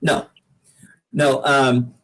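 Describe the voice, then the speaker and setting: A man's voice: mid-range voice, flat delivery, extremely slow, fairly bright tone, rough recording. Rambling American man telling casual stories. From Pacific Northwest, United States. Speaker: singer-songwriter, podcast guest. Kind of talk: casual interview storytelling.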